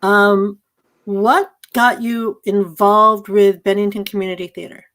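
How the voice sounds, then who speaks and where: A woman's voice: medium pitch, fairly even intonation, unhurried, a bit bright. A woman hosting an informal interview on a video call as a fellow theatre director.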